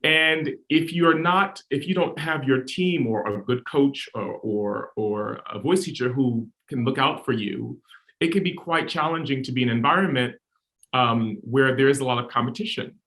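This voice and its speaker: A man, an opera tenor on a panel, speaking thoughtfully and haltingly with fillers. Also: medium pitch, a bit bright. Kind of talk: panel discussion.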